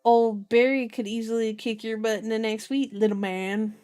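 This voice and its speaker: A woman's voice: fairly high pitch, flat delivery, moderate pace, darkish tone, smooth, lightly acted. A veteran policeman in his thirties, as a woman reader puts him on. He is good-natured and folksy, with a mild scolding streak, in a storybook-style reading. Faint music plays behind. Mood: mock threatening. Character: good-natured, gruff 38-year-old male senior police officer.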